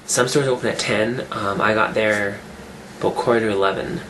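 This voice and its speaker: Man, rough voice from a cold, rambling chat, lowish voice, noisy recording. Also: fairly even intonation, moderate pace, a bit bright. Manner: rambling, chatty, restarts sentences. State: getting over a cold, rough voice. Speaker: fitness vlogger. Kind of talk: casual weekly update vlog.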